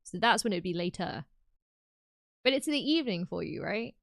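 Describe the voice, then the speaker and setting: A British woman livestreaming, chatting casually and reacting loudly to her chat. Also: medium pitch, moderate pace, vocal fry, no room sound, clean studio sound. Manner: animated and exclamatory, reacting to chat. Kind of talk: casual live stream chat.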